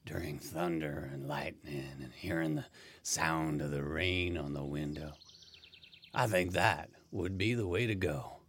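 An actor playing a weary, wry dying man in hospice care in an audio play, speaking in a halting, wistful way. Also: very deep voice, fairly bright tone, a little hiss or hum. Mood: calm, wistful.

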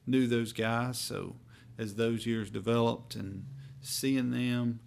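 A musician from North Carolina with a Southern accent, telling stories in a folksy, rambling way during a hotel-room interview. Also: male voice, medium pitch, fairly even intonation, gravelly, slight background noise. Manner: rambling, folksy, drawn-out phrasing. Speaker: bluegrass musician guest. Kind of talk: casual storytelling interview.

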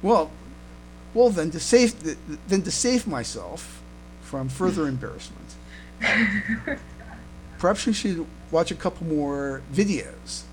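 A man's voice: a little high, animated, a little slow, darkish tone, a little hiss or hum, rough recording. A man hosting a casual fan podcast, chatting hesitantly and often stammering and repeating his words. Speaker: podcast host.

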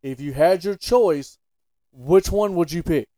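A man with a slightly high voice co-hosts a casual sports podcast. He is opinionated and emphatic, putting his views bluntly in back-and-forth debate. The sound is dry, with no room echo. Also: measured pace, mellow.

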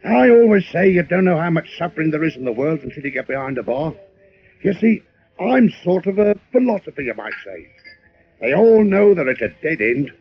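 A garrulous, wisecracking barkeep from an old radio play. His voice is on the high side and very animated, on a dull recording. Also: a little fast. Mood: folksy, philosophical. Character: folksy male bartender, chatty barroom philosopher. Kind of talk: old-time radio drama acting.

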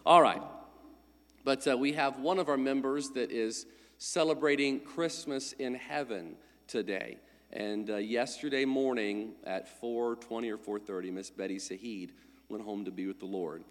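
A man's voice: mid-range voice, moderate pace. A male pastor, congested from a lingering cold, giving a friendly, conversational sermon with announcements into a pulpit microphone in a church. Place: church sanctuary. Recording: long church-hall reverb.

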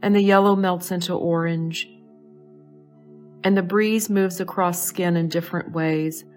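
A woman leading a guided meditation in a soothing, measured way, pausing between short phrases. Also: fairly low pitch, fairly even intonation, soft background music. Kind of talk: slow guided meditation narration.

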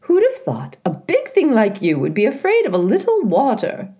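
A woman's voice: fairly high pitch, huge pitch swings, unhurried, pitched above the performer's own voice. Woman putting on a chatty old goose. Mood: cheerful, bossy. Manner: bright, sing-song and bossy. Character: chatty old female goose, bossy and cheerful.

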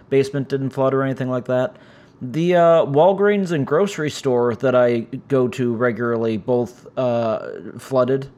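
A man with a raspy, strained voice from injured vocal cords, hosting his own podcast in a rambling, casual way full of fillers. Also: mid-range voice, darkish tone. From United States. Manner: rambling, frequent "uh" fillers, self-deprecating. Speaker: podcast host. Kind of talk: casual solo podcast chat. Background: cats making noise.

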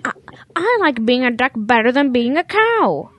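A woman's voice: high-pitched, sing-song, normal speed, dry recording. A woman voicing a naive, eager young boy calf in an audio drama, childlike and pleading.

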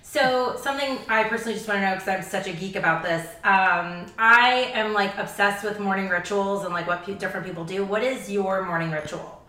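A woman's voice: medium pitch, a little fast, pushed and bright. A woman hosting an interview, gushing and enthusiastic as she asks questions. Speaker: interviewer, host. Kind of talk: casual interview. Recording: echoey, off-mic.

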